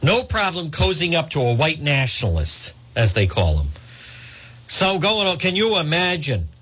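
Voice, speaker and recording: Man with lively, swooping commentary delivery. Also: on the high side, breathy edge. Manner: halting, repetitive, addresses listeners as folks. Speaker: talk show host. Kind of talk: opinionated off-the-cuff political commentary.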